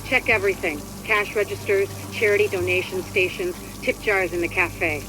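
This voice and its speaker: A brisk female mission handler played by a woman from a video game. She gives directions in a businesslike, no-nonsense manner, with clear noise in the background. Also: medium pitch, lightly acted. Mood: focused.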